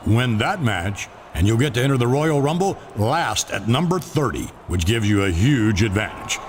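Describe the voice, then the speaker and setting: A man's voice: medium pitch, sing-song, moderate pace, a little hiss or hum, close to an everyday voice. A man playing a formal, authoritative wrestling company boss in scripted game dialogue. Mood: businesslike.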